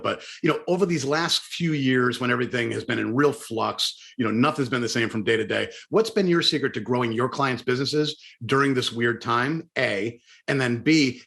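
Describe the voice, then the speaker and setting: A man's voice: mid-range voice, a bit bright. A man hosting a podcast interview in a casual, enthusiastic, chatty way. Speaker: podcast host.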